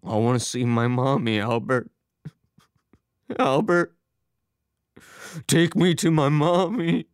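A man's voice: lowish voice, expressive, unhurried, dry recording, studio quality. Man voicing a wheezy, confused old father. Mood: hysterical, crying. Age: older. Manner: muttering, cantankerous, swearing. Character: Harold, confused wheezy elderly father, gruff and childlike.

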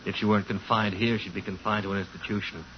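An actor playing a snobbish, genteel and shifty gentleman in a 1950s radio drama, haughty yet nervous and evasive. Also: lowish voice, little pitch movement, fast, close to an everyday voice. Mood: smooth, self-justifying. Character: genteel, snobbish, shifty male nephew, slender and pretty. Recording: dull, faintly noisy 1950s radio recording.

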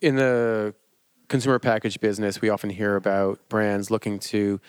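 A man interviewing a chef, asking measured questions and giving short affirmations. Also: lowish voice, little pitch movement, smooth, dry recording, studio quality.

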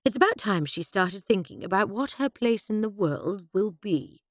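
A woman voicing an imperious, middle-aged upper-class English mother who speaks in a commanding, crisp and dismissive way. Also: medium pitch, animated, normal speed, no echo, studio quality, natural voice.

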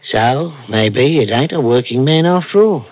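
An actor playing an irritable, belittling working-class husband in an old radio thriller, gruff and colloquial in his talk. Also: mid-range voice, animated, measured pace. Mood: weary, belittling. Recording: dull, muffled vintage radio recording.

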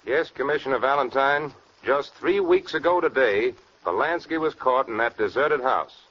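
An actor playing a sheriff who narrates a manhunt as scripted 1940s radio crime drama. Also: male voice, mid-range voice, animated, normal speed, lightly acted. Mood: grave, factual. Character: male Southern sheriff recounting a manhunt. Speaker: case narrator. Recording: muffled old radio recording.

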